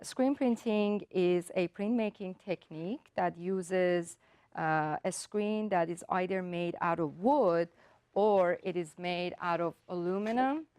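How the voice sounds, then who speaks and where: A woman whose first language is Persian, an artist calmly explaining her work and technique step by step, often pausing with ums and uhs. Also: fairly low pitch, clean tone, no echo. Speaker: artist giving a demonstration.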